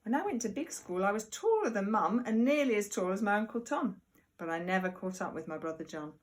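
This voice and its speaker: A woman reading a picture book aloud to young children like a primary teacher, expressive and deliberate, with chatty asides. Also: mid-range voice. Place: at home.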